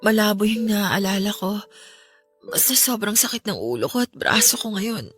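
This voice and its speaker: A woman's voice: medium pitch, lively intonation, normal speed, fairly bright tone, husky. An actress with a Filipino accent playing a distraught, remorseful young woman in a radio drama, pleading over music. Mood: dazed, regretful. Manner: pleading, tearful delivery.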